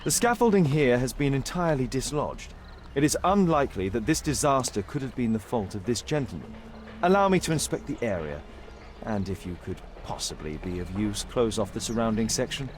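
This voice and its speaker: An actor playing a sharp, formal Victorian gentleman detective in a video game, with a British accent and precise, authoritative delivery. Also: medium pitch, expressive, fairly quick, natural voice. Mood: brisk, commanding. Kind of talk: dramatic video game acting.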